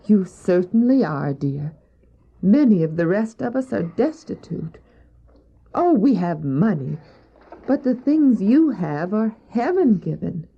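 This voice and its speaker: A woman's voice: mid-range voice, very animated, a little slow, vocal fry, husky, heavily acted. A woman playing a kindly, pious, wealthy lady in an audio drama, speaking tenderly and earnestly. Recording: muffled old cassette drama, faint music.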